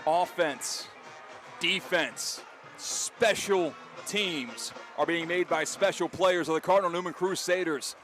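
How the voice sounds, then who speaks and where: A man's voice: on the high side, slow pace, fairly bright tone, soft background music. An American man doing live radio play-by-play of a football game, with emphatic, dramatic calls. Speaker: play-by-play announcer. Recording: clear outdoor stadium noise behind. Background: outdoor stadium ambience.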